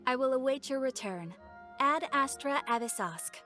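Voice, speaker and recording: Composed, courteous female official for a game: slightly high, measured, earnest. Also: expressive, bright, forceful tone, faint music behind. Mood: formal, composed. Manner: measured, formal, polite. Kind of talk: video game dialogue.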